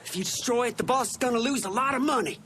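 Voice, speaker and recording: A man's voice: very high voice, quick pace, fairly bright tone, slight background noise. An actor playing a frightened, agitated male street thug in a game. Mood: pleading. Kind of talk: cinematic game dialogue.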